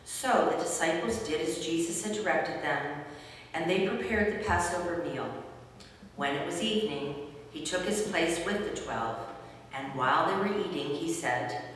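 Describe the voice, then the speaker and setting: A woman narrates scripture from a script in a fairly low voice. She is far from the mic in a very reverberant hall, with faint noise. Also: normal speed.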